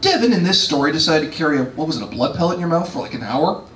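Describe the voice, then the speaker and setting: Middle-aged guy on a con panel, kinda high voice, echoey room, mic far off. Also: very animated, very fast, a bit bright, slight background noise. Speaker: game master, panel host. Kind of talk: convention panel chat. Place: large convention room. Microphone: handheld mic, heard from across the room.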